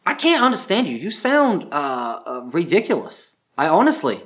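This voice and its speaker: A man's voice: fairly high pitch, huge pitch swings, moderate pace, a bit bright, dull audio. A man playing an exasperated, boastful famous actor on a Bigfoot hunt, complaining in a whiny, incredulous way in improvised comedy. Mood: irritated. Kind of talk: improvised comedy character monologue and banter.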